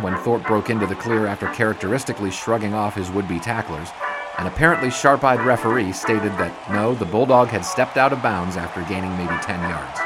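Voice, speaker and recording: Man as low-voiced 1920s sports writer over music. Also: lightly acted, low-quality recording. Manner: literary, measured storytelling. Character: 1920s sports writer recounting games gone by. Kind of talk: scripted narration in audio drama. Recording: loud music behind voice.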